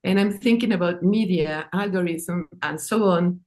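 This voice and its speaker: A woman, not an English speaker, presenting her own work in a halting, informal way, repeating words. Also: lowish voice, darkish tone. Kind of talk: informal artist talk and reading.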